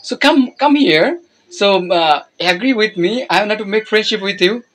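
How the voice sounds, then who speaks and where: A man's voice: sits high, animated, moderate pace, fairly bright tone. Young Bangladeshi man, Bengali native, teasing video-call chat, easy laughter.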